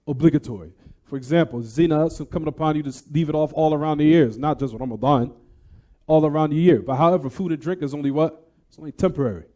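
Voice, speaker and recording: A man's voice: fairly high pitch, warm tone. A man giving a religious lecture, explaining a text in a didactic way and posing rhetorical questions to his listeners.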